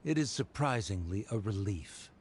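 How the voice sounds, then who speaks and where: A man's voice: on the low side, animated, moderate pace, a little mellow, a little hiss or hum, natural voice. A man playing a cold, haughty scholar father in a game, with a pompous, disdainful manner. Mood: scornful, grudging. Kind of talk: scripted game voice acting.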